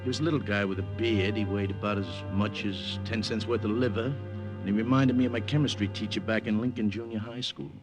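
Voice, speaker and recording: A man's voice: fairly low pitch, steady tone, fairly quick, lightly acted. Man playing a brash American wartime agent, music behind. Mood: wry, bored. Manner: wisecracking, slangy, breezy. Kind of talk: 1950s radio drama acting and narration. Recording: old 1950s radio broadcast.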